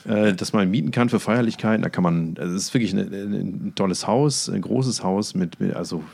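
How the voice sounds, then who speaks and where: Podcast guy, deep-ish and croaky with lots of fry, slowly feeling out his words, dry wit. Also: a little mellow. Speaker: podcast co-host. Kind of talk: casual anecdote telling.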